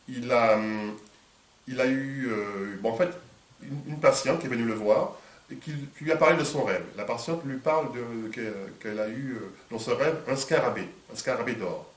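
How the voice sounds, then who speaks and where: Hesitant man with a head cold. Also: mid-range voice. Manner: halting, many fillers and restarts. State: congested from a cold. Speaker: interviewer. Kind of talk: hesitant conversational interview. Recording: echoey room, distant mic.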